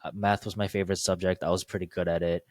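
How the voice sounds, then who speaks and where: A man's voice: sits low, level tone, fast talker, darkish tone, dry recording, clean studio sound. A young man who moved from Korea to the Chicago suburbs as a child, co-hosting a podcast in a friendly, encouraging manner. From Korea, raised in Chicago suburbs from age nine. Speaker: podcast co-host. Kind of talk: casual chat.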